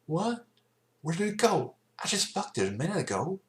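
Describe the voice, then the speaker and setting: A man's voice: sits high, sing-song, normal speed, echoey room, voice pushed up. A man voicing a plain-spoken, suspicious female farm pony in a cartoon story, blunt and questioning. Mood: startled, defensive.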